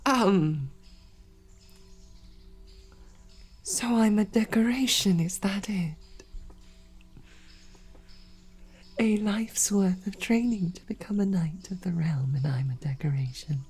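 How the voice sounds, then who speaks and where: A woman playing a composed, sarcastic female royal knight who speaks formally and often softly, close to a whisper, in an audio roleplay. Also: mid-range voice, lively intonation, normal speed, few pauses, slightly rough, soft background music. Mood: bitter, sarcastic.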